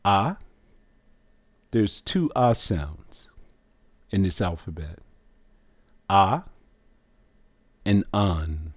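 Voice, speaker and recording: A man giving a slow, emphatic religious teaching, stressing single words with long pauses between them. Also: low voice, sing-song.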